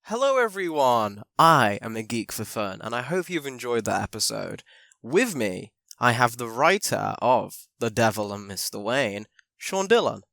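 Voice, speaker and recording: A man's voice: medium pitch, sing-song, a little slow, creaky, a bit bright, dry recording, studio quality. A man hosting a podcast and interviewing a guest in a chatty, casual way. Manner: chatty, with fillers and restarts. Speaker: podcast host.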